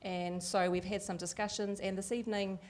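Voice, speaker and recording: A woman voicing a Deaf host live at an evening event, lively and chatty with frequent fillers. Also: mid-range voice, a little fast. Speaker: sign language interpreter voicing the Deaf host. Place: event venue with an audience.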